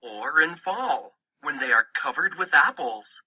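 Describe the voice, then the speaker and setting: Guy reading a kids picture book slow n deliberate, really sharp bright voice, animated pitch. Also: husky, low-quality recording. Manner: deliberate, storytelling phrasing in short sentences. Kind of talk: scripted children's picture book narration.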